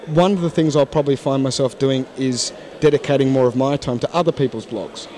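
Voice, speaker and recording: A man's voice: medium pitch, brisk, mellow. A man, a guest in a casual interview, talking frankly and thoughtfully with many restarts, into a handheld mic at a conference. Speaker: interview guest, English teacher. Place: conference hall lounge, indoors.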